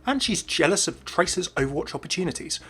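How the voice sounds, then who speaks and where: Casting: male video presenter, brisk, slightly high voice, scripted game commentary. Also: animated, a bit bright, breathy edge. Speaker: YouTube game lore presenter. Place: voice-over with faint music behind.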